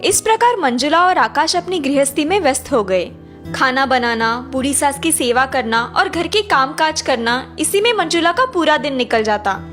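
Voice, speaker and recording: Animated high-pitched woman story narrator. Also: normal speed, faint music behind. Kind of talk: scripted children's story narration.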